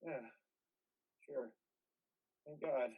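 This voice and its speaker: A man playing a haunted, groggy army veteran in a play reading, speaking haltingly. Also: medium pitch, breathy edge, phone-quality sound, exaggerated voice. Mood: groggy, pained. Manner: halting, distressed. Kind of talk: live amateur play reading.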